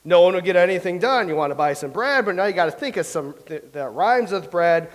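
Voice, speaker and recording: An actor playing a chatty, boastful young playwright from old England in a staged play reading, rambling and reciting verse slowly. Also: male voice, high-pitched, lively intonation.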